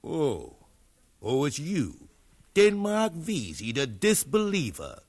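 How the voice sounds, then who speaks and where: A sly, slow, high-voiced conjurer. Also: male voice, wildly swooping pitch, full character voice. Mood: mocking, suspicious. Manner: chanting, teasing, deliberate. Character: sly, eerie, cackling slave conjurer, proud and suspicious. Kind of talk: 1950s radio drama acting. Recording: remastered 1950s radio broadcast.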